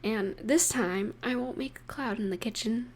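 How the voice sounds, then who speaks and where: A woman voicing an affectionate, playful partner in an intimate roleplay audio, speaking gently and teasingly to the listener with pauses. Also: on the high side, close to an everyday voice. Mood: teasing, fond.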